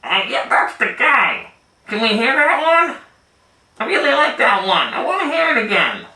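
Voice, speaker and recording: A man voicing an eager, childlike puppet pal who talks in a halting, insistent way at storytime. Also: extremely high pitch, big pitch swings, sharp tone, recorded from a distance, pitched above the performer's own voice, heavily acted. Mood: pleading, excited. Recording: roomy echo, away from the mic.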